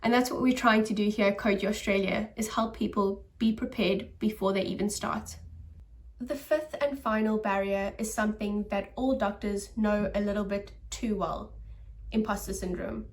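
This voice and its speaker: A woman doctor hosting an advice video, speaking to camera in an earnest, encouraging and steady way. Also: mid-range voice, moderate pace, recorded from a distance. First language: English. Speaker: doctor and channel host.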